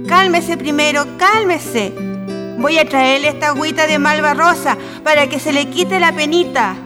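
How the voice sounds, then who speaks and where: Woman as high-voiced fussing motherly aunt, reverberant. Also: moderate pace, lightly acted. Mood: soothing. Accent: Chilean. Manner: folksy, soothing, fussing. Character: fussing, motherly aunt, folksy and world-weary about men. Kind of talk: radio drama acting. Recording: long reverb, music behind.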